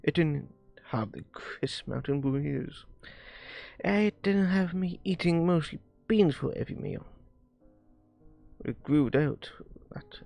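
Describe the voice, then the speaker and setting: A man reading visual novel dialogue aloud on a live stream, haltingly, often stumbling over words and repeating phrases. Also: a little high, gravelly, darkish tone.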